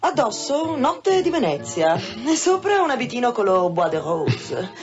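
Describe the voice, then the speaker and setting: Sultry, lilting brothel madam. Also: female voice, on the high side, very animated, a little slow. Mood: playful, flirtatious. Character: sultry, teasing, proud female brothel madam. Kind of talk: radio drama acting. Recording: dull band-limited radio drama with music.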